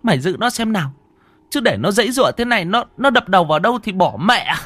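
A man voicing a frantic, bossy old grandmother, shrill and scolding. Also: animated, quick pace, fairly bright tone, slightly rough, dry recording.

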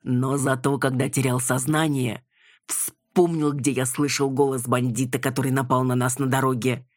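A woman's voice: extremely low pitch, little pitch movement, normal speed, darkish tone, no echo, clean studio sound. A woman voicing a doting, boastful, effusive older father in an audiobook. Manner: effusive, self-satisfied.

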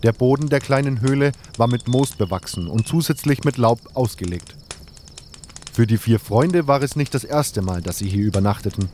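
A man plays a nameless adventurer telling his own story in a fantasy audio drama. He is tender and reflective, with a mid-pitched voice and faint birds and crickets behind him. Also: animated, normal speed, darkish tone, slight background noise, natural voice. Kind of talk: scripted first-person fantasy audio drama narration. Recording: outdoor nature sounds behind voice.

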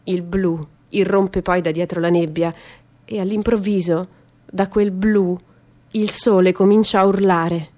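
A woman performing a dramatic literary reading for radio theatre, theatrical and emphatic, moving between quiet asides and louder bursts. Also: lowish voice, fairly even intonation, a little slow. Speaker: stage reader performing a literary monologue.

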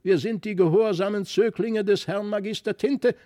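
A little toy man out for revenge, played by a male reader. He croaks in a creaky, raised voice, sneering and threatening as in a storybook. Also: high voice, steady tone, normal speed, dark tone, pitched above the performer's own voice, close to an everyday voice. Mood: menacing. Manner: menacing, sneering. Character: pair of vengeful little toy men, huntsman and harper. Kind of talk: dramatised fairy-tale audiobook reading.

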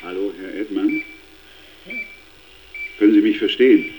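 An actor playing a surgeon speaking loudly and clearly to a patient. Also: male voice, on the low side, noisy background, lightly acted. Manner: loud, slow, addressing patient. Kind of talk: radio drama acting.

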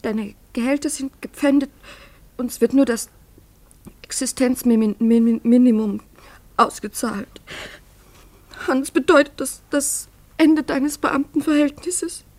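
Naive worried wife in a radio play, high voice, earnest and pleading, soft-hearted. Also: female voice, slow pace, long pauses. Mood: worried confession. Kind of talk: German radio play acting.

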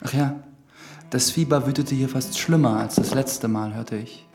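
A man's voice: medium pitch, normal speed, loud music behind the voice, natural voice. Formal, probing priest played by a man. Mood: polite, probing. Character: earnest young priest, dutiful, probing church investigator. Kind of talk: German radio drama acting.

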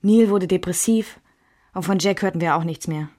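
A woman playing a wistful memoirist who recalls her marriage in measured radio drama narration. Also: on the low side, a little mellow, natural voice. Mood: subdued, wistful.

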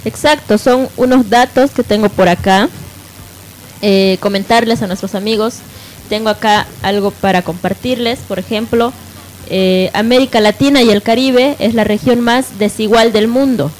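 A woman's voice: on the high side, moderate pace, rough recording. Woman co-hosting radio, faint noise behind. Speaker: radio co-host. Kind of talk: conversational live radio hosting. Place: radio programme.

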